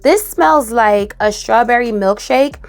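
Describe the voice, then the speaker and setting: Hoarse, raspy woman reviewing perfume. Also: fairly high pitch, unhurried, soft background music. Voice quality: raspy, dry, cracking. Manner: animated, emphatic, tosses in "okay?". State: hoarse after a cold. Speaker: fragrance reviewer. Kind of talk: casual chatty review to camera.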